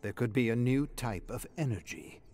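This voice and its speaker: A man voicing an earnest, insistent investigator of otherworldly mysteries with slow intensity, in a dramatic game story reading. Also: medium pitch, dark tone, lightly acted. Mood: earnest, urgent. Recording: music playing behind the voice.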